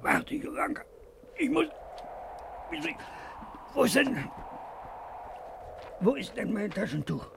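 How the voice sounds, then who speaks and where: An actor playing a troubled, brooding ordinary man in a radio play, confiding in a husky, breathy voice. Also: extremely high pitch, very animated, takes it slowly, pauses often, heavy background noise, full character voice. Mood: distraught. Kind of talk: 1950s German radio play acting.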